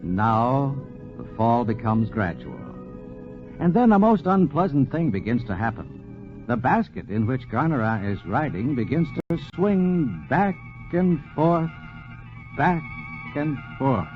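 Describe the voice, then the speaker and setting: A male narrator from a 1940s radio programme reads with dramatic emphasis. His medium-pitched voice moves expressively up and down. The sound is a dull old broadcast with light music. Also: sing-song, slow.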